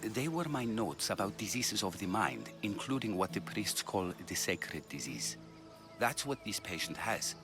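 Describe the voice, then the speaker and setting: An actor playing an earnest, humane middle-aged physician of ancient Greece in a video game, speaking in a measured, formal way. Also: male voice, fairly low pitch, very animated, music in the background. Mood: grave, explaining. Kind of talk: cinematic video game acting.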